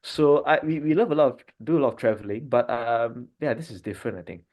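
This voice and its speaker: A man from Malaysia, a doctor in his thirties, talking in a relaxed family podcast chat, thinking aloud with frequent fillers and restarts. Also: mid-range voice, quick pace. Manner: thoughtful, many fillers and restarts.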